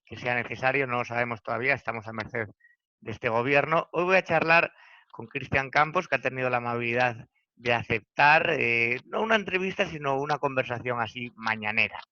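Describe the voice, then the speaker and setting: A man's voice: mid-range voice, brisk, sharp tone, dry recording, dull audio. A man from Asturias hosting a casual morning conversation, talking loosely with hesitant fillers. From Asturias, Spain. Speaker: host.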